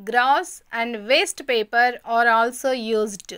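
A high, bright woman's voice reads a school lesson in a slow, measured way. Her tone is smooth and clear, and she announces each heading before explaining it in simple steps. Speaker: narrator of a school lesson video. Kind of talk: scripted lesson narration. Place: voice-over.

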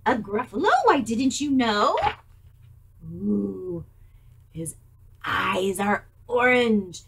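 A woman voicing a small, clever mouse in a storybook, bright and animated. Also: medium pitch, very slow, gravelly, husky, pitched below the performer's own voice, exaggerated voice.

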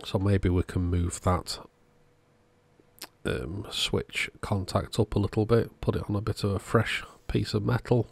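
A man's voice: on the low side, steady tone, measured pace, vocal fry, breathy edge, dry recording. A man narrating a repair job in a casual, matter-of-fact, understated way. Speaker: host of electronics repair channel.

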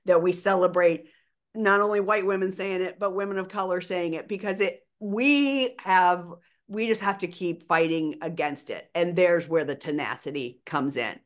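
Low-voiced older woman, emphatic. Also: normal speed. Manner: earnest, emphatic, speaks with conviction. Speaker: podcast co-host. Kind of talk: casual conversation over video call. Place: small bedroom at home. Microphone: video call.

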